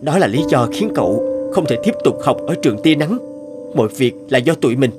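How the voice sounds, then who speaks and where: A man's voice: medium pitch, lively intonation, fairly quick, loud music behind the voice, natural voice. An actor playing an earnest, hesitant grown man in a cartoon dub. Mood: remorseful. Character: earnest, hesitant adult man, former unruly schoolboy. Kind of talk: cartoon dubbing.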